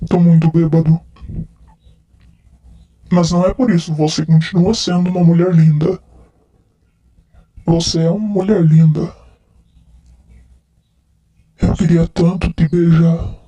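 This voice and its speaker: A man's voice: a little high, little pitch movement, unhurried, long pauses, mellow, close to an everyday voice. A man playing a drunk, heartbroken man who keeps begging a female friend to sit with him and let him kiss him, in a repetitive, pleading first-person roleplay.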